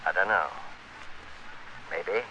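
A cold, scheming criminal in a 1940s radio thriller. His voice sits fairly low with a hard, forceful edge, and he is domineering and menacing. The old broadcast recording carries faint noise. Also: takes it slowly, full character voice, rough recording. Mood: impatient, hard. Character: cold, scheming male criminal narrating his murderous plot.

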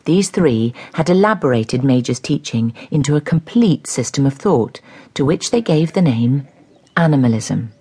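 A woman's voice: rumbling low, lively intonation, normal speed, mellow, breathy edge, exaggerated voice. A woman reading scripted narration for a dramatised story.

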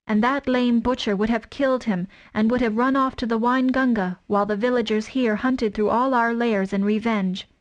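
A woman doing a fierce, high-voiced mother wolf. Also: fairly even intonation, normal speed, few pauses, lo-fi sound, natural voice. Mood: fiercely tender. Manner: defiant, high and forceful.

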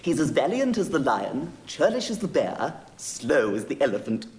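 A man's voice: mid-range voice, big pitch swings, normal speed, darkish tone, lightly acted. An actor playing a gossipy male servant who reports news in rhetorical, storytelling Shakespearean style. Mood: relishing gossip. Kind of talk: classical Shakespearean stage acting.

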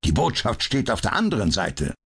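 A man's voice: fairly low pitch, huge pitch swings, normal speed, gravelly, fairly bright tone, breathy edge, voice pushed up, full character voice. A man reading an audiobook, voicing an indignant, bitter police inspector who barks his words. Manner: blustering and bitter. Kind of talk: audiobook character voice.

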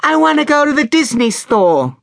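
A man voicing a stubborn, whiny little girl in a very high, chiming voice, as in a storybook reading. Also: big pitch swings, normal speed, vocal fry, fairly bright tone, no echo, studio quality, heavily acted. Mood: whiny, insistent. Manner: pleading, stubborn. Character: whiny, insistent six-year-old little girl.

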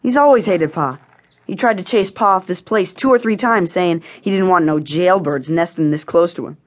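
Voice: mid-range voice, normal speed, natural voice. An actor plays a young American boy of the Old West, about twelve. He is wary and defiant, talking in plain, rustic country phrases. It is dramatic audio Western acting. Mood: resentful. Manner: rustic folksy speech. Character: wary, defiant twelve-year-old frontier boy, son of jailed man.

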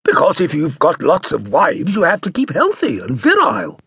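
A bold and languorous mother played by a male narrator holds forth to her little boy in an audiobook. The pitch is lifted high and swings widely. She sounds opinionated and sure of herself. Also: wildly swooping pitch, normal speed, gravelly, a bit bright, slightly rough, no echo, clean studio sound, exaggerated voice. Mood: breezily didactic.

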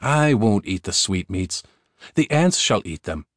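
A man's voice: on the low side, very animated, measured pace, no room sound, clean studio sound. A man voicing a young prince who is earnest, determined and curious, in a storybook. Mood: calm.

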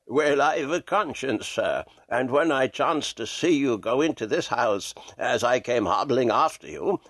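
Croaking, low old book peddler. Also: male voice, lively intonation, measured pace, a bit bright, no room sound. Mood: wheedling, apologetic. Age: older. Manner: obsequious, hesitant, old-fashioned politeness. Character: strange, wizened old book collector, servile and wheedling.

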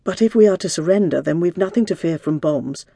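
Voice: mid-range voice, brisk, gravelly, mellow, no room sound. An audiobook narrator voicing a flustered, exasperated male hospital doctor with a formal way of speaking. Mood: strained, placating. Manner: formal, raises voice to plead for order.

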